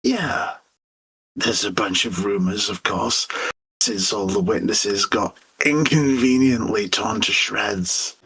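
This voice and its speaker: A man's voice: fairly low pitch, takes it slowly, fairly bright tone, full character voice, poor audio. A man voicing a nervous, whining, cowardly fat demon in an audio story, speaking hesitantly. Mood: gossipy, uneasy. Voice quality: whining, husky.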